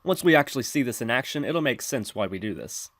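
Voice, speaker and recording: A man narrating a software tutorial in an instructional, step-by-step manner. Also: mid-range voice, animated, fast talker, no room sound. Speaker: tutorial presenter.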